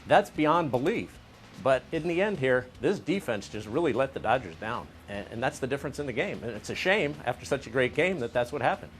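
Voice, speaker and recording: Guy doing live post-game analysis, medium pitch, emphatic punchy sports commentator. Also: expressive, brisk, soft background music.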